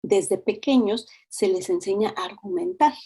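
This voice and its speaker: A middle-aged woman with a Mexican accent, explaining things as an interview guest in an expressive, conversational way, acting out examples. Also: medium pitch, moderate pace, breathy edge.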